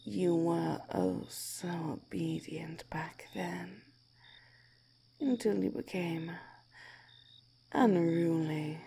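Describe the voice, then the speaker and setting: A woman voicing a cruel, hungry giant snake woman in a teasing ASMR roleplay, breathy and whispery, savoring and gloating. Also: fairly low pitch, steady tone, warm tone, exaggerated voice. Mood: menacing, teasing. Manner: slow, teasing, gloating, savoring each phrase.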